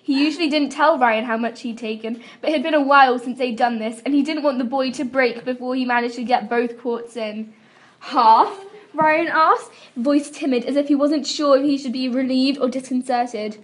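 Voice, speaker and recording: A woman's voice: high-pitched, steady tone, brisk, hardly any pauses. A young woman giving an amateur dramatic reading of fan fiction, with a giggly manner. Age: young adult. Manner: giggly, breaking into laughter while reading. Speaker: amateur reader. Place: room at a school.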